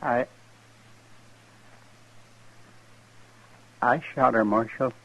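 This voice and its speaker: Man as grieving older homesteader, rural American, mid-pitched, halting and plain, muffled vintage radio drama. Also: fairly even intonation, loud music behind the voice, lightly acted. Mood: anguished. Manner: halting, plain-spoken, sorrowful. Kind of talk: 1950s radio drama acting. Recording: dull, hissy old radio recording.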